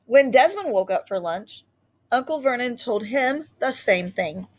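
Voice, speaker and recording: Expressive woman reading a storybook, lively intonation. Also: a little high, unhurried. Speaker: read-aloud storyteller. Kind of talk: expressive children's picture book read-aloud.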